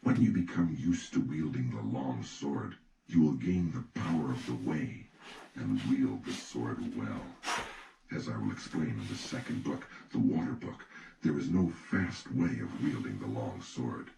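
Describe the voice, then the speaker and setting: A man reading scripted audiobook narration in a measured, instructive manner. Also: a bass voice, lively intonation, vocal fry. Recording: echoey, distant, noisy recording.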